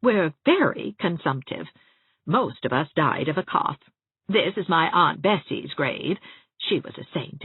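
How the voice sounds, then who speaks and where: A woman's voice: medium pitch, gravelly, slightly rough, no echo, narrow, tinny audio, pitched above the performer's own voice, heavily acted. A woman voicing a ladylike small-town spinster dressmaker in an audiobook, chatting genteelly with relish for grim family gossip. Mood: gossipy, confiding. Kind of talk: acted audiobook dialogue.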